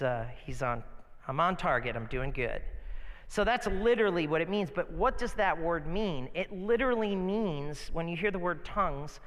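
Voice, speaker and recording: An American man preaches in a high-pitched voice that rings through a large, echoing hall. He moves between reading scripture and telling stories in an informal, animated way, often putting rhetorical questions to his listeners. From northeastern United States. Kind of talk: conversational sermon with Bible readings and anecdotes. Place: large reverberant hall, live sermon. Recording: long hall reverb.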